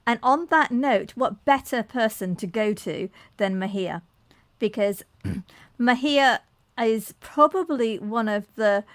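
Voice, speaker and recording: A woman's voice: a little high, dry recording. A woman hosting a live online panel, effusive, with frequent restarts. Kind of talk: enthusiastic live-stream moderating.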